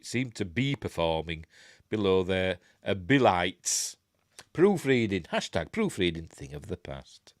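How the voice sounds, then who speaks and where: A man's voice: lowish voice, dry recording, clean studio sound. Congested Yorkshire man reading geek news. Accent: Yorkshire English. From Yorkshire, England. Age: older. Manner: reads articles aloud with wry, blunt off-the-cuff asides. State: congested, stuffy nose. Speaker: host of a geek news stream. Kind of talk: casual live-stream news reading with asides.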